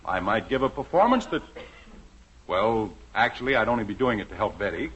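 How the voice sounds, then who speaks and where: A man's voice: lowish voice, very animated, fairly quick, fairly bright tone, husky, full character voice. An American actor playing a vain, good-natured middle-aged suburban father in a theatrical, blustering 1950s radio sitcom style. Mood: resigned. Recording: muffled, noisy old radio recording.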